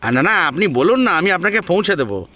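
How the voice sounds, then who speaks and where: A man's voice: high-pitched, big pitch swings, fairly quick, bright, forceful tone, pitched above the performer's own voice, full character voice. A man playing a humble, deferential cycle-rickshaw driver who speaks earnestly and reverently in an audio story. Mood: polite, insistent.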